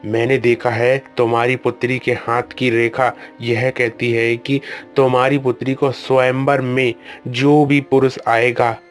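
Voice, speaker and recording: A man's voice: mid-range voice, lightly acted. A man playing a solemn old guru. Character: wise old male guru, calm and commanding. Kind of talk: Hindi cartoon storybook voice acting.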